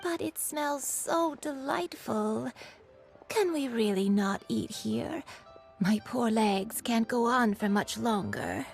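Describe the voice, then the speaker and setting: A woman's voice: fairly high pitch, animated, gravelly, faint music behind, heavily acted. A woman voicing a forgetful, whimsical grandmother who looks young, in a video game. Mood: dreamy. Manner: dreamy, slow and wandering.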